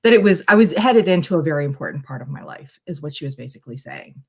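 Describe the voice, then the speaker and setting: A woman's voice: low voice, animated, quick pace, creaky, husky. A middle-aged woman chatting casually as a guest on a live stream, talking in a reflective, long-winded way. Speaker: guest tarot reader bringing a question.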